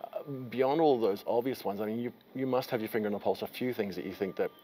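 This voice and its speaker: A man's voice: lowish voice, quick pace, warm tone, a little hiss or hum. A man in his forties hosting a conference interview, asking long, rambling questions in an enthusiastic, chatty way, recorded on a lapel mic in a conference room. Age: 40s. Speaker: interviewer host. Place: conference room with a curtain backdrop.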